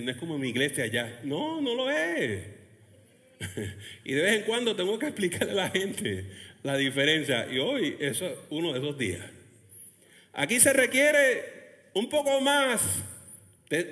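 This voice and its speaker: Animated male pastor, high-pitched and expressive, preaching in a big echoing hall. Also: moderate pace. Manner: animated preaching with laughs and acted quotes of churchgoers. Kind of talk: lively sermon. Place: church hall, Texas. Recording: long hall reverb.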